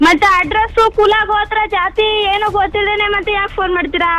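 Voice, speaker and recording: Female prank-call target, southern Karnataka accent, high rapid voice, blunt and combative phone manner. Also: fairly even intonation, bright, forceful tone. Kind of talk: casual phone conversation. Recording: phone call with music behind.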